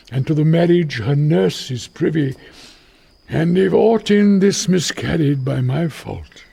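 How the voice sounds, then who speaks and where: An older man playing an elderly friar in a classical radio drama, giving a solemn, sorrowful confession in measured verse. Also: mid-range voice, vocal fry, dark tone, husky, exaggerated voice. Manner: measured, solemn storytelling delivery.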